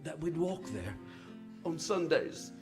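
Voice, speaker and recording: A man playing a gentle, grieving Victorian father in a staged audio drama, speaking with tender sentiment. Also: a little high, big pitch swings, slow pace, over music. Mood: tender grief.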